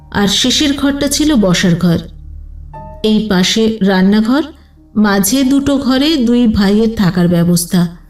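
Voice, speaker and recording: A woman's voice: mid-range voice, a little mellow. A woman narrating a scripted detective story as an audio storyteller. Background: music.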